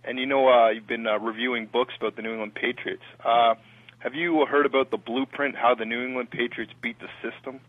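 A man with a Canadian accent calls in to a sports radio show, pausing often with "uh" and "um". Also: mid-range voice, fairly even intonation, dry recording. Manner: hesitant, fills pauses with "uh" and "um". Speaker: radio caller. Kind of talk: phone-in call to a sports talk show. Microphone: telephone. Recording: tinny phone line.

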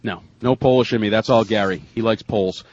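A man hosting a sports talk show, discussing and reading out emails in a husky voice. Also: fairly low pitch, fast, a little mellow, muffled recording, slight background noise. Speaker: sports radio show host.

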